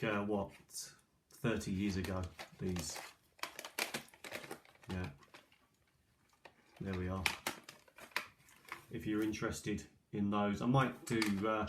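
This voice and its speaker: A middle-aged man with a fairly low voice speaks at a very slow, halting pace. He rambles casually, thinking aloud as he shows things at a table. The homemade tablet recording carries faint noise. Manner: hesitant, musing aloud to himself. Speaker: video maker presenting old cassette tapes. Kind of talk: casual rambling show-and-tell vlog. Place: kitchen table indoors. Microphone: built-in microphone of an ageing iPad. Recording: clearly noisy tablet recording with clicks.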